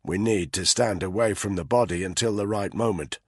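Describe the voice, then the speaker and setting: A tough male soldier gives short, controlled orders in a low voice, as a male narrator puts him on. He sounds steady and grim, and his tone is slightly husky and close. Every word is clipped and deliberate. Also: no echo. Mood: tactical. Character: hard, commanding male soldier.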